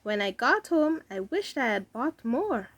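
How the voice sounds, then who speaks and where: Woman reading as a chatty, animated lady customer. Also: a little high, measured pace, dry recording, heavily acted. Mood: friendly. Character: chatty, friendly lady customer, effusive and brisk.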